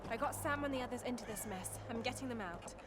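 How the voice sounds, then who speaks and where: A woman's voice: fairly high pitch, fast, very noisy, lightly acted. An actress playing a determined young woman adventurer in a video game, resolute and under strain. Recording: loud game music and ambience behind.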